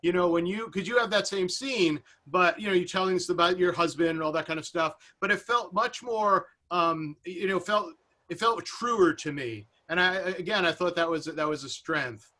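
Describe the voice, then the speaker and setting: A man's voice: high voice, moderate pace, bright, forceful tone. A man giving casual, rambling commentary as a panelist, often breaking off and restarting his thoughts. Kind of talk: casual discussion of acting and film. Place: indoors, online video seminar.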